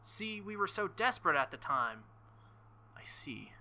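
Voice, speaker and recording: A man with a very bright, forceful and fairly high voice hosts a game playthrough. He reads story text aloud and breaks into animated, sweary joking asides. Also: unhurried. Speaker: video game playthrough host. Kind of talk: gameplay commentary reading visual novel lines aloud.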